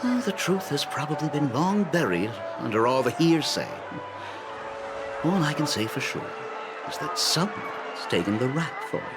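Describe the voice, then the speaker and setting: A man's voice: fairly high pitch, sing-song, normal speed. An actor voicing a sly male official who trades rumors in a knowing, wry manner. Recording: game cutscene over loud music.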